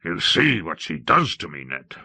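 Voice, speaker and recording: Guy doing a bitter weary king for an audiobook, blunt n forceful, low voice, super close and dry. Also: sing-song, husky, no echo, exaggerated voice. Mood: sad, regretful. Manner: blunt, drawn-out, forceful speech. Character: brooding, hot-tempered king, bitter and weary. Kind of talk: audiobook character acting.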